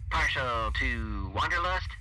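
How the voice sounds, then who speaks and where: A man voicing a brash, fast-talking otherworldly thief in an audio story, with a buzzy radio-filtered voice and folksy, slangy patter. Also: medium pitch, lively intonation, pushed and bright, full character voice, poor audio. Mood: prying.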